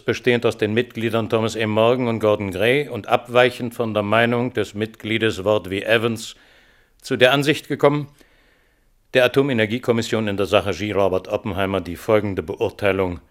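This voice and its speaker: An actor playing a stern board chairman, sober and deliberate, in the formal style of a German radio drama. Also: lowish voice, fairly even intonation, lightly acted. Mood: sober, severe. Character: stern, official chairman of a security hearing board.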